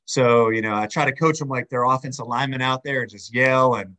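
Chatty male podcast co-host, slightly hoarse. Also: medium pitch, a little fast, pushed and bright. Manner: friendly, chatty. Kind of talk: casual podcast chat.

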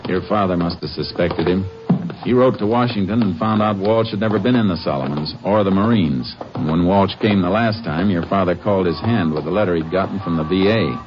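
Man playing a low-voiced, terse Texas Ranger. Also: a little fast, natural voice. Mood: grimly certain. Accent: American. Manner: terse, matter-of-fact questioning. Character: calm, determined Texas Ranger investigator. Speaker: lead actor in radio western. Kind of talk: 1950s American radio drama acting. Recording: noisy, muffled old 1950s radio recording.

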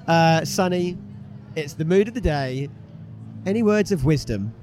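Hoarse, upbeat man hosting. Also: on the high side, expressive, a little mellow, faint music behind. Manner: enthusiastic, quick to praise. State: slightly hoarse. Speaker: host interviewing exhibitors. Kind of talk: upbeat vox-pop interviewing. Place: business show floor. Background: exhibitors packing up.

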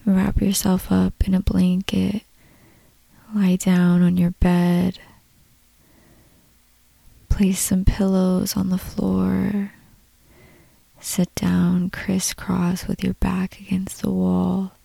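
A woman leading a guided meditation in a soft, breathy, calm voice, unhurried, with long pauses between short phrases. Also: fairly low pitch, no room sound, poor audio. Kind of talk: slow guided meditation narration.

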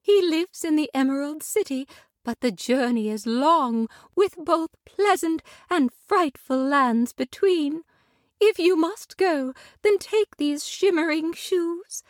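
This is a graceful good witch from a storybook radio play, voiced by a woman narrator. She speaks tenderly and calmly in a lilting, much higher voice. The sound is dry and close. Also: slow, no room sound.

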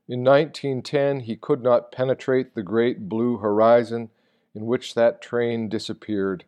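A man with a medium-pitched voice reads a written meditation aloud. His delivery is measured and literary, like a storyteller's. He leaves deliberate pauses between phrases. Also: darkish tone. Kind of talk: read-aloud literary sermon.

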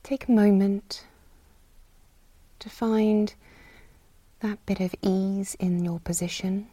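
A woman leads a guided meditation at a very unhurried pace. Her voice is mellow and soft, with a breathy, soothing delivery and long pauses. The sound is close and dry. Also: mid-range voice, fairly even intonation, dry recording. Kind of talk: guided body scan meditation.

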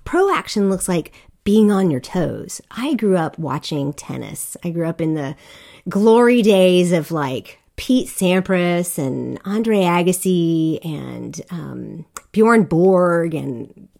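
A woman's voice: lowish voice, expressive, slow pace, vocal fry, darkish tone. A middle-aged woman from Korea hosting a solo podcast, talking candidly and conversationally with fillers and restarts. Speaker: podcast host.

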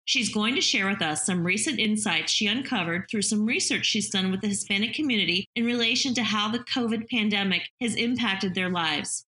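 A woman host with a bright, crisp tone. She opens the conversation and guides it along in a friendly, upbeat way, dropping in light jokes. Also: medium pitch, brisk, few pauses. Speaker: interview host. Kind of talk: conversational interview.

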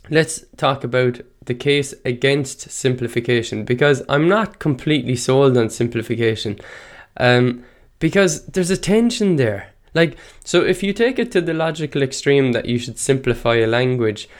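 A man with an Irish accent from County Clare talks through a rambling solo monologue, with Irish as his first language. His voice sits at an ordinary middle pitch. He restarts phrases and chuckles now and then as he drifts from one tangent to the next. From Ireland. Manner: digressive, thinking aloud with restarts and light laughs. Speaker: podcast host.

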